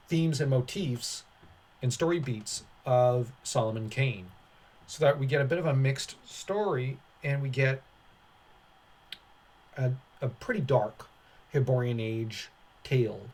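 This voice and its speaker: A man's voice: mid-range voice, unhurried, long pauses, darkish tone. Casual man giving offhand opinion commentary. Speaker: commentary channel host.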